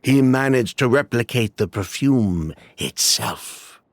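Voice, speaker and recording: An actor playing a smooth, evasive middle-aged former perfume swindler in a video game. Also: male voice, medium pitch, expressive, slow, slight background noise. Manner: evasive, chatty, with dismissive laughs.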